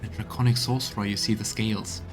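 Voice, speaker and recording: A man's voice: on the low side, flat delivery, fast talker. A man doing let's play commentary as a game streamer, talking through the game and reading its texts aloud. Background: game music.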